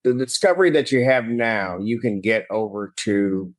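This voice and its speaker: Deep-voiced male judge. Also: lively intonation, moderate pace. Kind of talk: courtroom proceedings, plain-spoken explanation.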